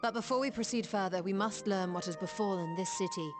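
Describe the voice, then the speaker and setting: A woman's voice: mid-range voice, quick pace, over music, lightly acted. A woman voicing a composed, courteous and precise scholarly healer-mage in a video game, with formal, archaic phrasing. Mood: composed, considerate.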